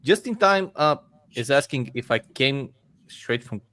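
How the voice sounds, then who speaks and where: A man's voice: medium pitch, expressive, unhurried, pushed and bright. A man from the Balkans with a Balkan accent, a guest on a casual podcast panel who chats and laughs at himself.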